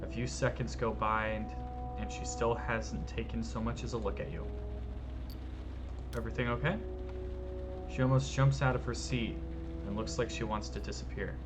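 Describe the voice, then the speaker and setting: A man streaming himself as he reads a story game aloud, in calm, measured narration, with loud music behind. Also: fairly low pitch.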